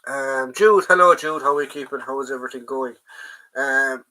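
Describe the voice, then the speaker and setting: A man's voice: mid-range voice, moderate pace, bright, forceful tone, poor audio. Stuffy-nosed Irish man chatting live. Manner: rambling, greets viewers by name, many ums. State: stuffy nose from a head cold. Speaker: livestream host, collector. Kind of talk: casual live chat. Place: at home.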